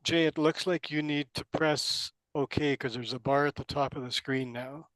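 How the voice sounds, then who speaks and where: A man's voice: a little high, steady tone, moderate pace, a bit bright, low-quality recording. A man taking part in an online workshop, who plainly and helpfully explains things over a video call. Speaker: workshop participant. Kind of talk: casual chat on a video call. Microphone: video call microphone.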